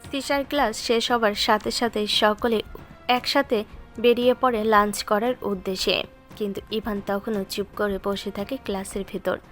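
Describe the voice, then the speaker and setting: A woman's voice: mid-range voice, a bit bright. Woman narrating a story over music. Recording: music bed under the voice.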